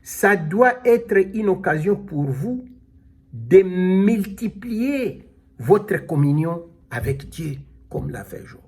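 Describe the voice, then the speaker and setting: A man preaching a sermon, slow and emphatic, calling out alleluia as he explains scripture. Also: sits high, very animated, a little mellow, husky. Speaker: pastor.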